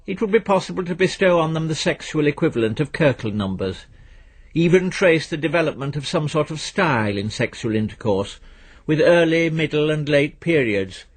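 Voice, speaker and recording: A man reads literary fiction aloud in a measured, dryly understated way, his voice a little husky. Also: fairly high pitch. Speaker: narrator reading fiction aloud. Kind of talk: scripted literary narration.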